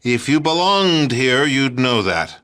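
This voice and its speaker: A middle-aged American president from a video game, with a forceful, bright voice. He is pompous and smug, declaiming in a folksy politician's manner. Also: mid-range voice, sing-song, slow pace, clean tone, full character voice. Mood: indignant. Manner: pompous, declamatory, hesitant stammering when cornered. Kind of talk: video game dialogue acting.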